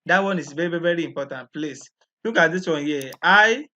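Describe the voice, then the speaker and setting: A man's voice: fairly high pitch, brisk, bright, forceful tone. A man patiently explaining programming step by step in a screen-recorded walkthrough, often repeating points. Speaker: programming tutor. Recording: dull lo-fi recording.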